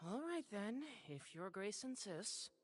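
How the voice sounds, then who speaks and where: A man playing a dry, skeptical young male researcher in an anime-style game, brisk in delivery. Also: high voice, very animated, soft background music.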